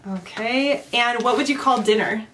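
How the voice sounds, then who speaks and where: A woman's voice: a little high, moderate pace, fairly bright tone, a little hiss or hum, rough recording. A woman in her thirties from Southern California with a Californian accent, hosting a casual chat and asking curious, giggly questions. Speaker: host.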